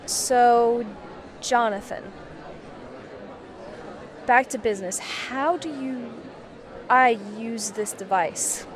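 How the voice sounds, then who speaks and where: A woman playing a businesslike, curious intelligence handler in an amateur audio drama, measured and conversational. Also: a little high. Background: faint crowd chatter.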